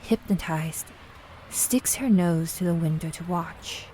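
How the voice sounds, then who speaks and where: A woman narrating a scripted audio drama in a measured storytelling manner. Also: on the low side, mellow, a little hiss or hum, poor audio.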